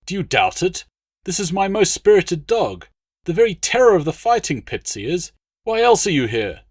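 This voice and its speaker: Man acting as obsequious hound seller, wary, salesman patter, audiobook dialogue, raised pitch, dry recording. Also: very animated, moderate pace, husky, no echo, full character voice. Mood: indignant, boastful. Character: wary, fawning male dog breeder hawking fighting hounds.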